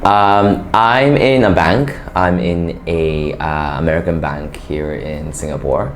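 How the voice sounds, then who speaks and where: A young man from Japan answers interview questions casually, pausing often with fillers and restarts. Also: low-pitched, slight background noise. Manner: hesitant, many fillers and restarts. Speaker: interview guest, bank operations worker.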